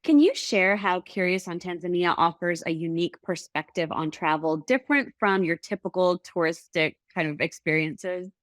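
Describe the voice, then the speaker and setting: A woman hosting a podcast, reading a polished intro and asking measured questions. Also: on the low side, no room sound, studio quality. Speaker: podcast host. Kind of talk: scripted intro and interview questions.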